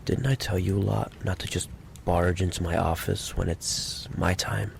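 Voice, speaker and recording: A man playing a menacing, impatient mafia boss in an ASMR roleplay, taunting the listener with slow, mock-courteous threats in a gravelly voice. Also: flat delivery, slight background noise. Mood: irritated, threatening. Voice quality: deep, gravelly. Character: menacing, possessive male mafia boss, smooth-talking and impatient.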